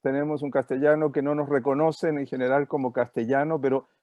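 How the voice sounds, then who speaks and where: A man from Chile with a Chilean Spanish accent, giving an online lecture over a video call and pausing often with filler sounds. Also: mid-range voice, little pitch movement, dry recording, dull audio. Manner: hesitant, many fillers. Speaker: guest speaker.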